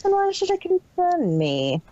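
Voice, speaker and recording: A woman's voice: extremely high pitch, huge pitch swings, measured pace, warm tone, highs cut off, exaggerated voice. A woman voicing a callous, cruel female pony business boss in a detached manner for a fan fiction reading. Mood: cold, dismissive. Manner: detached, unemotional.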